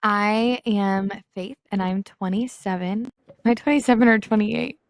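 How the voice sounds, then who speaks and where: A woman in her late twenties co-hosting a family podcast, playful and quick to laugh. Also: medium pitch, no room sound. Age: 20s. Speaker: podcast co-host. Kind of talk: casual podcast chat.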